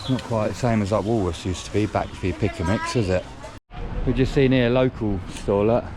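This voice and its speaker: A man's voice: fairly low pitch, fairly quick. A man chatting casually in a travel vlog, rambling and restarting his sentences as he goes. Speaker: travel vlogger. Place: outdoors on busy city streets and in a tuk-tuk. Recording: lo-fi with loud street traffic noise.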